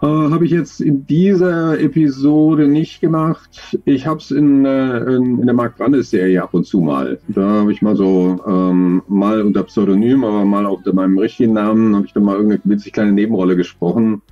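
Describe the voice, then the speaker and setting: Brief: man in his 40s, low, dark and husky, thoughtful rambling answers over dull lo-fi call audio. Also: fairly even intonation, moderate pace, hardly any pauses. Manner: thoughtful, rambling, self-deprecating. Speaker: audio drama author. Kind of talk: video call interview. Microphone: video call.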